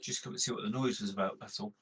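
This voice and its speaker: A man chats in character during a tabletop roleplaying game. His voice is fairly low, and his way of talking is plain and informal. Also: steady tone, very fast, a bit bright. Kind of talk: casual in-character roleplay chat.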